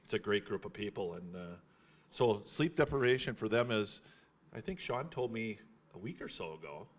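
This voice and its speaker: Folksy male livestream host, lower-pitched, teasing and chatty, heard through thin phone-like audio. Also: very animated, a little fast. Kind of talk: casual live chat.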